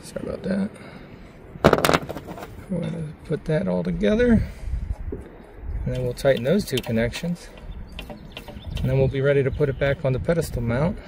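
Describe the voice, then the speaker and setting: A man's voice: a little high, moderate pace, vocal fry, long pauses, very noisy. A man giving a casual, plainspoken hands-on how-to narration as he works on a project. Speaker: DIY video creator.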